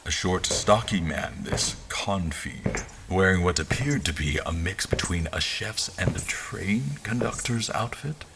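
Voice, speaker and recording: An actor playing a wry royal officer, giving measured, literary first-person narration of his journey in an audio drama. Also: sits low, background noise. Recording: music under the narration.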